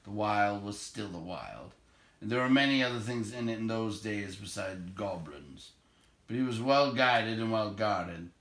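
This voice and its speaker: A man's voice: lowish voice, lively intonation, measured pace, fairly bright tone, roomy sound. A man narrating, reading a storybook aloud.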